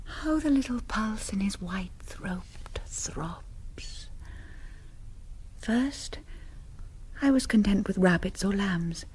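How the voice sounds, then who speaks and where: An actress playing a languid, hungry vampire countess in a radio drama, in a breathy, hushed and dreamy voice. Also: female voice, medium pitch, expressive, slow pace, long pauses, slight background noise. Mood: hungry, entranced. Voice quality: breathy, whispery.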